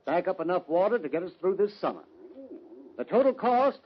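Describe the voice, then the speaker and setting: A man's voice: high-pitched, big pitch swings, a little fast, husky, full character voice. A man playing a businesslike impostor engineer in an old radio western, speaking matter-of-factly. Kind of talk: 1940s-50s radio western acting. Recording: muffled, noisy old-time radio recording.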